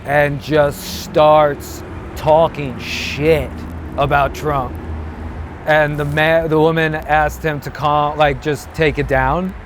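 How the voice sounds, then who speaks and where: Male comic guest, slightly high voice, rambling profane anecdotes, street ambience with faint traffic. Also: level tone, takes it slowly. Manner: rambling storyteller with swearing. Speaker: comedian guest. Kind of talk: casual street chat. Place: outdoors on a city street.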